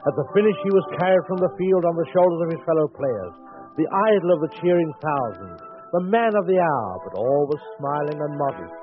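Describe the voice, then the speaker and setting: An actor playing a wry, theatrical mystery storyteller, narrating deliberately in 1940s radio style. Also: male voice, fairly high pitch, expressive, close to an everyday voice. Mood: mock grand. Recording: muffled vintage 1940s radio broadcast with music.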